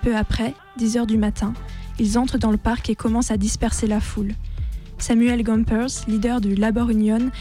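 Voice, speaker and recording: Woman, scripted documentary narration, higher pitch, even delivery, soft dark tone, faint music behind. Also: normal speed.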